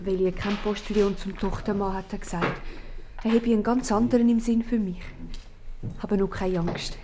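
An actress with a Swiss accent playing a worried but determined young innkeeper's daughter in love in a 1950s radio comedy. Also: female voice, mid-range voice, fairly even intonation, moderate pace, natural voice. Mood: worried, loyal. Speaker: actor. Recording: slightly noisy old radio recording, clicks.

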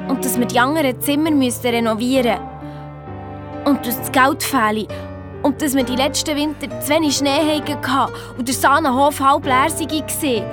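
A woman's voice: high-pitched, natural voice. An actress playing a sensible, sceptical young girl with a Swiss German accent, telling her story in an audio drama. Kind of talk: colloquial first-person storytelling in an audio drama.

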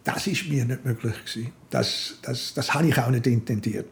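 An older man with a Swiss German accent, talking haltingly as he searches for words in an interview. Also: medium pitch, vocal fry, breathy edge. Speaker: writer being interviewed.

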